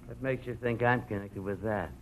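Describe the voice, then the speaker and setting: An actor playing a shady, sly, menacing crook in an old radio crime drama, with slangy, smirking speech. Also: male voice, lowish voice, fairly even intonation, fairly quick, fairly bright tone. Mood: wary. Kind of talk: 1940s-50s radio drama acting. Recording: faintly hissy old radio recording.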